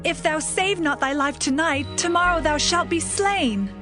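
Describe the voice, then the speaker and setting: An actress playing a young princess and wife in a dramatized scripture reading, with dramatic archaic diction. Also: female voice, very high-pitched, moderate pace, music in the background. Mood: urgent warning.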